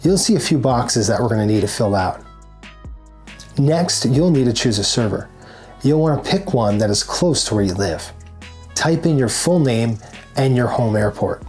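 A man's voice: mid-range voice, animated, moderate pace, darkish tone. Man presenting a tutorial over background music. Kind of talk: scripted instructional narration to camera.

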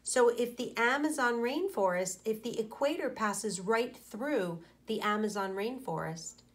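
A woman in her forties, a teacher reading aloud to children and explaining, with deliberate, pausing phrasing. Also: fairly high pitch, lively intonation.

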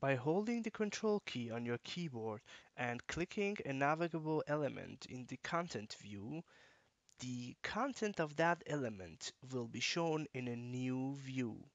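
A man with a mid-range voice reads a software walkthrough in an even, instructional way. The recording is dry and close, with no room sound. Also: mid-range voice, dry recording, dull audio. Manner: measured, instructional. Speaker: software tutorial narrator. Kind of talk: scripted screencast narration.

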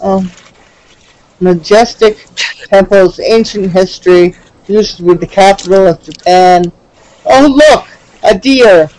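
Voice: mid-range voice, slow, long pauses, darkish tone, low-quality recording. A person chatting casually on a video call, gushing playfully and stumbling over words now and then. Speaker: virtual tour participant.

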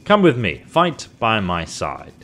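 A man's voice: lowish voice, huge pitch swings, slow, fairly bright tone. A man in his thirties giving casual gameplay commentary with dry, wry humor. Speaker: video game YouTuber. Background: game music.

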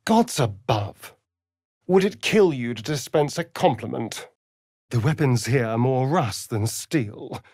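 A man's voice: mid-range voice, darkish tone, breathy edge, no room sound, studio quality, full character voice. A male actor voicing a sly, vain vampire rogue in a fantasy video game, with an arch, flamboyant, sardonic drawl. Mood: mocking.

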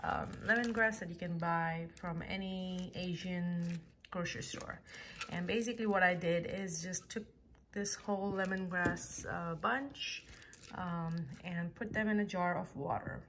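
A woman at home at a wooden table, casually demonstrating a gardening task at an unhurried pace with many fillers and self-corrections. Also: on the low side, steady tone, slight background noise.

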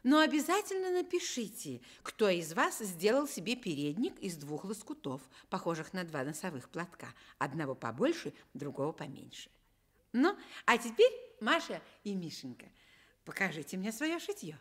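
A woman presenting a scripted Soviet children's radio programme, gently explaining things to small children as the grown-up host. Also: medium pitch, big pitch swings, normal speed.

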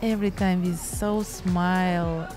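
Chatty Ukrainian woman vlogging in slow English. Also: fairly low pitch, fairly even intonation, music in the background. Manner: chatty, enthusiastic, broken English. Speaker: painting vlogger. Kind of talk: casual travel and painting vlog. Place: beach outdoors and art studio. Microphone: handheld phone camera at arm's length.

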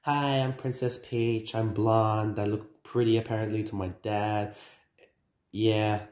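A performer voicing a reluctant cartoon character who hams up a storybook part in a comedy skit, in a roomy recording. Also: female voice, extremely low pitch, flat delivery, slow pace, a little mellow. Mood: reluctant, flat.

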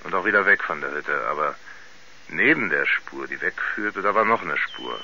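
An actor playing a plain-spoken country sheriff, giving a halting, thoughtful witness account in a radio play. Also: male voice, a bass voice, animated. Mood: puzzled, matter-of-fact. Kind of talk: 1970s German radio play acting. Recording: slightly dull, faintly noisy 1970s radio recording.

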